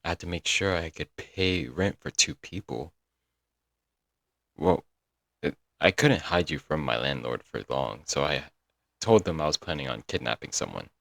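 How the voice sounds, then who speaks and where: A man's voice: rumbling low, moderate pace, gravelly, fairly bright tone, no room sound, clean studio sound, full character voice. A man playing a doting, apologetic young yandere kidnapper in a comedic roleplay audio drama, coaxing and rambling intimately to the listener. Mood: sheepish, affectionate. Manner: coaxing, rambling, pet names, nervous chuckles.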